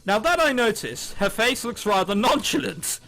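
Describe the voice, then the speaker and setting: A man's voice: high voice, expressive, normal speed, pushed and bright. A man reading a visual novel aloud, with sarcastic asides and swearing. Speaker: let's play host. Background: music.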